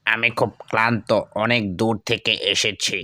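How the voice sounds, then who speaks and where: A man's voice: lowish voice, flat delivery, normal speed, pushed and bright, dry recording, studio quality, faint music behind. A man playing a commanding wandering holy man in a Bangla cartoon, with imperious pronouncements.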